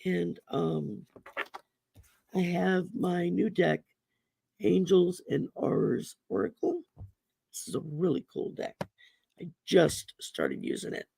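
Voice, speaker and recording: Slow gravelly woman. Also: fairly low pitch, animated, warm tone, no room sound. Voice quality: gravelly voice from damaged vocal cords. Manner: slow, folksy, chatty with many ums. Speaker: host and oracle card reader. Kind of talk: casual livestream chat. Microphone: computer mic on a livestream.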